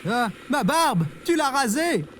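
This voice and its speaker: A man's voice: very high voice, sing-song, slow, fairly bright tone, slight background noise, exaggerated voice. A man playing a skeptical, exasperated everyman in an audio comedy, with a dry manner. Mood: panicked. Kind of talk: audio fiction comedy acting.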